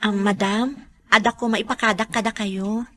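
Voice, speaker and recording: A woman's voice: medium pitch, steady tone, normal speed, close to an everyday voice. A woman playing a polite, deferential housemaid and devoted mother in a radio drama, respectful and a little hesitant. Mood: polite, friendly. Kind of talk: radio drama acting in dialogue.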